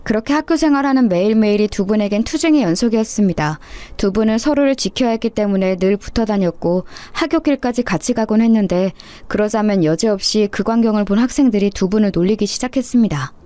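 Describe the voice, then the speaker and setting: A woman's voice: mid-range voice, quick pace, mellow, no room sound. A woman reading a scripted first-person story as a narrator.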